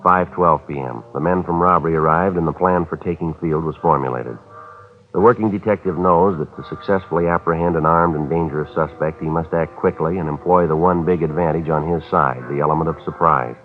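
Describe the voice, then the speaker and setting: An actor playing a terse, matter-of-fact police sergeant who narrates his case in a clipped, deadpan way. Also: rumbling low, fairly quick. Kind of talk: 1950s radio drama acting. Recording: tinny old radio with faint music.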